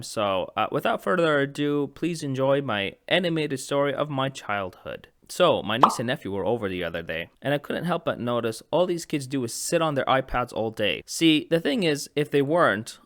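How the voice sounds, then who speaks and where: Bright-voiced man telling a jokey story. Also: medium pitch, dry recording, clean studio sound. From Egypt. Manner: rambling, joking asides. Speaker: animation channel host. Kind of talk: comedic storytelling narration.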